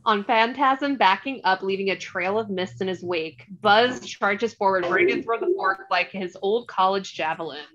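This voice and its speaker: A woman's voice: medium pitch, normal speed, few pauses, fairly bright tone, dull audio. A woman narrating the stage directions of a screenplay in a scripted read. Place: remote online table read.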